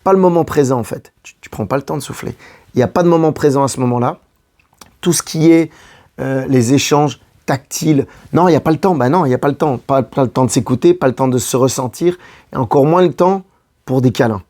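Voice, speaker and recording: A man with a hoarse, dark and mellow voice talks straight to his viewer like a coach giving relationship advice. He speaks casually and circles back to repeat his main points. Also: medium pitch, a little fast. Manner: addresses the listener directly, repeating key phrases. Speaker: love coach. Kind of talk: casual advice monologue to camera.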